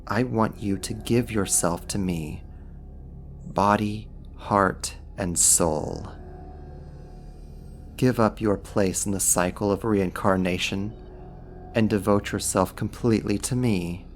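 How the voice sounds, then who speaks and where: Man acting a slow, low, seductive demon. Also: faint music behind. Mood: possessive, coaxing. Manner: teasing, smug, deliberate delivery. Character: seductive, amused, possessive male archdemon, condescending to humans. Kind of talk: ASMR roleplay acting, intimate second-person monologue.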